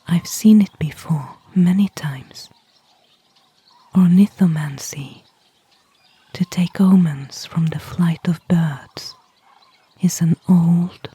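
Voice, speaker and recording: A woman playing a gentle, thoughtful elven ranger in an ASMR fantasy roleplay, speaking in a soft, breathy, intimate whisper. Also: lowish voice, no room sound, full character voice. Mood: earnest, gently teasing. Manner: slow, hushed, intimate, unhurried. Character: gentle, thoughtful female elven ranger, kindly toward a human.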